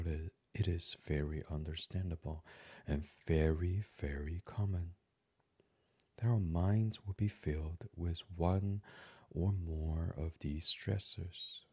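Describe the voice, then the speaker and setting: A man hosting a guided sleep meditation in a soothing, hushed ASMR delivery that slips into a near-whisper. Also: low-pitched, steady tone, slow pace, slightly rough, no room sound. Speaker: meditation podcast host.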